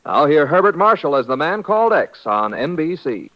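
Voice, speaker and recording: A man's voice: on the high side, very animated, moderate pace. A man with an American accent announcing credits and programmes in 1950s radio style. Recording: dull vintage radio recording. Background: loud music.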